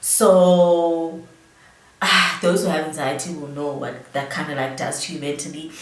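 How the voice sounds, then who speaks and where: A woman's voice: low-pitched, level tone, a little slow. Young South African woman vlogger, a bit tipsy, loose rambling delivery; roomy sound with the mic at a distance. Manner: rambling, chatty, many fillers. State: tipsy from drinking. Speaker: beauty vlogger. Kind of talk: casual storytime chat.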